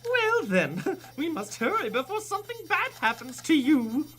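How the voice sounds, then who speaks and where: A woman's voice: high-pitched, very animated, normal speed, a bit bright. A woman playing a giddy, scheming male mad scientist in a comic radio play, with theatrical, stilted formal speech and a cloying, fiendish manner. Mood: gleeful.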